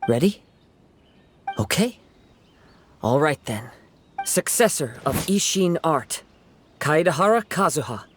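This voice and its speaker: Gentle young wandering samurai, noisy backdrop. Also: male voice, fairly high pitch, big pitch swings, takes it slowly, long pauses, full character voice. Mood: calm, respectful. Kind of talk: video game character voice acting.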